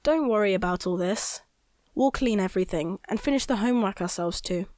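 A woman's voice: mid-range voice, brisk, a little mellow, dry recording, clean studio sound, natural voice. A woman playing a well-meaning, earnest young schoolboy in a children's audio drama. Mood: earnest, reassuring.

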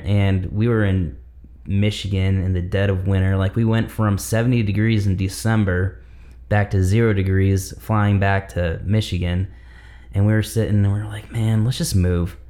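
Male, 30s, lower register, lively podcast storyteller. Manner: animated, laughing, acts out quoted dialogue. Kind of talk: casual storytelling chat.

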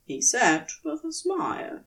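A woman's voice: high-pitched, wildly swooping pitch, unhurried, fairly bright tone. A woman narrator reading scripted fiction, telling the story between lines of dialogue.

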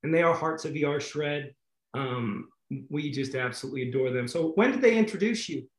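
A man hosts a community video call in an upbeat, excitable way, repeating himself and breaking into loud bursts. His voice sits a little high and sounds roomy and echoey. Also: fairly quick. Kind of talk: enthusiastic casual chat.

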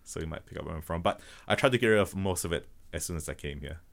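Deep-voiced man, slight Malaysian accent. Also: expressive, creaky, a bit bright. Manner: earnest, halting, thinks aloud. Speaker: guest, robotics business founder. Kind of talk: casual podcast interview.

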